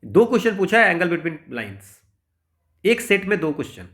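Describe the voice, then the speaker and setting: A man with an Indian accent and a fairly high-pitched voice gives an informal maths lesson. He works through each problem step by step, explaining as he goes and often adding little tags to check that the listener follows. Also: very animated, normal speed, a bit bright. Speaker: maths teacher.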